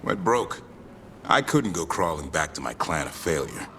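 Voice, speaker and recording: An actor playing a proud, adventurous elf monster hunter in a video game, talking in short, clipped sentences. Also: male voice, rumbling low, sing-song, normal speed, bright, forceful tone, slight background noise, heavily acted. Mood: reflective. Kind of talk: video game dialogue acting.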